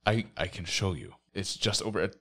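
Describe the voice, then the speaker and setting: Tabletop player, man with a rumbling bass voice, casual improvised dialogue, plain and halting. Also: fairly bright tone. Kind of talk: casual improvised role-play.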